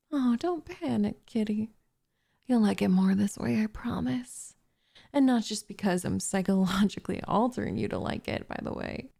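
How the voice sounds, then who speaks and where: A woman's voice: mid-range voice, creaky, dark tone. A woman voicing a doting, possessive witch in an intimate ASMR roleplay, cooing and teasing with smug condescension, often slipping into a whisper close to the microphone. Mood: soothing, possessive. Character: doting, possessive young witch turning captive into pet cat.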